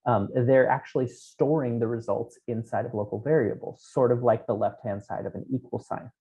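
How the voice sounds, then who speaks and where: A man in his thirties talks through code at a remote conference, pausing on ums as he explains each step. His voice is mellow and relaxed, heard through a headset in a small room. The audio is a bit dull and lo-fi. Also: mid-range voice. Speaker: conference keynote speaker. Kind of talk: technical conference talk. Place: small room at home, indoors.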